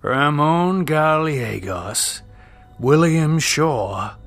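A man voicing a gruff, commanding captain of an Old West party, firm and authoritative, in a storybook reading. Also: a little high, expressive, very slow, fairly bright tone, faint music behind, voice pushed up, heavily acted. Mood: firm, grave.

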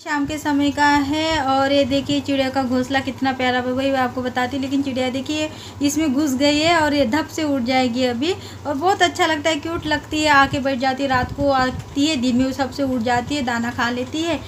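A middle-aged woman talks to her phone camera as she walks through her home and courtyard. Her high voice is homely and chatty, stumbling over fillers and restarts, with faint noise in the background. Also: steady tone, moderate pace. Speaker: home vlogger. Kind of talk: casual vlog narration. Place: home and courtyard, indoors and outdoors.

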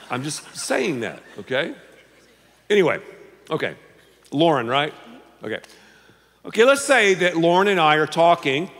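An older man preaching a sermon on a large church stage, animated and playful, often dropping to a low confiding tone. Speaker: pastor. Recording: long reverb of a big hall.

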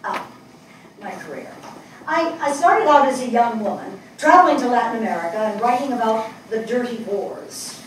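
A woman's voice: a little high, expressive, normal speed, natural voice. Older lady acting a thoughtful wry journalist woman, stage reading, mic far off, bit of noise. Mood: reflective, wry. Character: reflective, wry middle-aged New York woman journalist. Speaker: stage actress in a dramatic reading. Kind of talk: theatrical dramatic monologue read on stage. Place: indoor small theatre stage. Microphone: far camera. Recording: echoey theatre, distant mic, faint noise.

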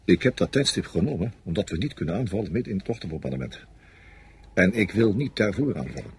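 An actor playing a confident, coldly calculating senior French army officer in a radio play, speaking with matter-of-fact authority. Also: male voice, low-pitched, expressive, fast talker, husky, full character voice. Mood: commanding. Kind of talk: Dutch radio play acting.

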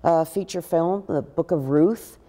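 Deep-voiced older woman, animated storyteller. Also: measured pace, big-room sound. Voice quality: deep, big voice. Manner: expressive, gestures a lot while talking. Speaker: actress interviewee. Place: living room with a pool table, indoors.

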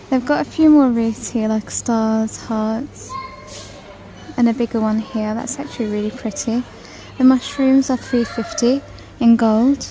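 A woman's voice: on the high side, dark tone, a little hiss or hum, rough recording. A woman vlogging in a large store aisle, chatting casually and reading out prices as she browses. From United Kingdom. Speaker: shopping vlogger. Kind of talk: casual shop-with-me narration. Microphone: handheld phone camera.

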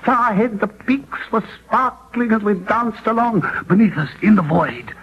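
An actor playing a boastful young rascal of a son, spinning tall tales in grandiose 1940s radio style. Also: male voice, sits high, expressive, normal speed, slightly rough. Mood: grandiose, excited. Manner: declamatory, storytelling, grandiose. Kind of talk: 1940s radio drama acting. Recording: muffled 1940s radio broadcast, faint noise.

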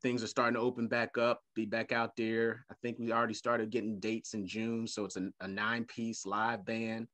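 A middle-aged man speaks from a room at home over a video call. His voice has a bright, ringing edge. He is animated and playful, repeating words as he goes. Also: lowish voice, normal speed, hardly any pauses. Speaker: guest. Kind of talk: casual video-call interview. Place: home room with leather couch.

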